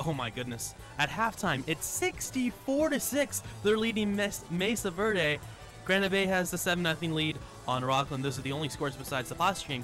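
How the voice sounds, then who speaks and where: A man presents a radio sports score update from the studio. His voice is a little high, with music playing clearly underneath.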